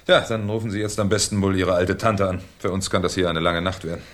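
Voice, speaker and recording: Radio crime drama: a shrewd commissioner, deep-pitched, wry, courteous, unhurried in his questioning. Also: level tone, a bit bright. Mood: wry, commanding. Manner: polite, dryly ironic, unhurried questioning. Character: shrewd, wry male French police commissioner, courteous but probing. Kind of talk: 1970s German radio play acting.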